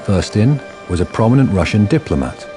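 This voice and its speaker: A deadpan male storyteller for a radio crime drama. His voice is deep and his phrasing measured. Music runs clearly underneath the narration.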